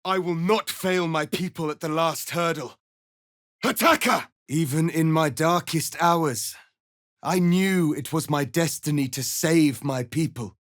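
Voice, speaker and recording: An actor playing a proud, stern, noble alien rebel leader in a fantasy video game, speaking formally and with deliberate, solemn authority. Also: male voice, a little high, fairly bright tone, no room sound, studio quality. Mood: battle cry. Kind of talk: dramatic fantasy game acting.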